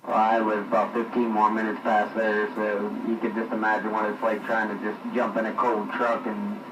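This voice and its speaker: Tow driver, flat rambling talk, distant echoey CB radio with static. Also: male voice, fairly low pitch, normal speed, muffled recording. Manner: rambling storyteller, many fillers. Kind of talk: casual CB radio chat. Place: parked near a coffee shop. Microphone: CB radio handset.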